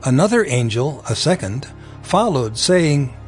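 A man narrating dramatized scripture in a solemn, measured manner. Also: medium pitch, big pitch swings, soft background music.

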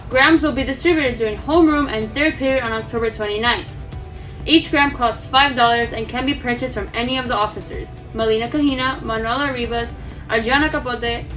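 A woman's voice: on the high side, a bit bright, rough recording. A teenage girl, a student news anchor, reading school announcements steadily from a script.

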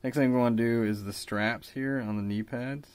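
A man in his thirties, a bit raspy from a cold, calmly talking through a miniature painting tutorial with plenty of fillers. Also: mid-range voice, moderate pace. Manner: relaxed, hesitant with fillers, explains while working. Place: hobby shop workbench indoors.

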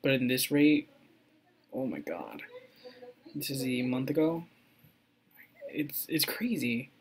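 Excitable rambling young man. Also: mid-range voice, slow, slightly rough. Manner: rambling, excitable, many ums and restarts. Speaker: crypto mining vlogger. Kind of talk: casual screen-recording update.

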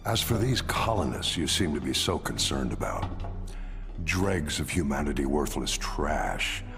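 An actor playing a cold, ruthless middle-aged corporate villain in a game cutscene, measured, condescending and menacing. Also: male voice, extremely low pitch, sing-song, vocal fry, over music, heavily acted. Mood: icy menace. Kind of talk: cinematic game cutscene acting.

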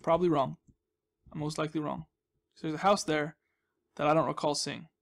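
A man's voice: fairly high pitch, fairly even intonation, a little fast, creaky. A young man giving casual, rambling commentary as he hosts a gaming video, with a goofy, self-deprecating, mock-dramatic manner.

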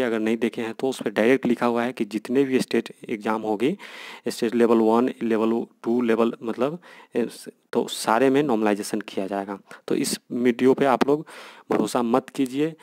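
A man's voice: medium pitch, darkish tone, dry recording. Indian man explaining in a dry recording. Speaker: YouTube channel host. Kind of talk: informal explainer to viewers.

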